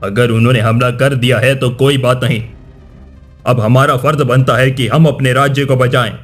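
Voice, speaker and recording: A man playing a proud older king and father in a cartoon, with measured, declamatory speech. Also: mid-range voice, steady tone, soft background music, natural voice. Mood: defiant, resolute. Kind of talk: dramatic Hindi cartoon dubbing.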